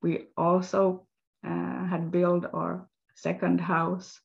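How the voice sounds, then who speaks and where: A woman speaking slowly and haltingly, pausing to search for her words. Her lowish voice has a gravelly fry to it. The audio sounds dull and lo-fi. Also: monotone. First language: Swedish. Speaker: guest, health coach. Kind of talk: interview storytelling.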